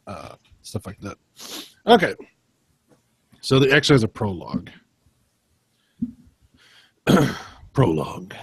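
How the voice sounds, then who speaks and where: A man co-hosting a podcast, moving between self-deprecating chat and deliberate, dramatic story reading. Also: on the low side, darkish tone, slightly rough, dry recording. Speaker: podcast co-host.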